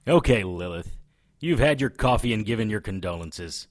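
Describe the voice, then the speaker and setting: Sardonic hard-boiled investigator with lively intonation man acting. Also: lowish voice, moderate pace, close to an everyday voice. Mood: dry, impatient. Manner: dry, wisecracking, sarcastic. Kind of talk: audio drama acting.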